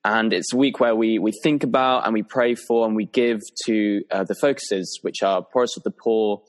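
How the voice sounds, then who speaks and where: A man's voice: fairly low pitch, steady tone, moderate pace. A young man from England hosting a podcast, asking easygoing questions in a casual interview. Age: young adult. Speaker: podcast host.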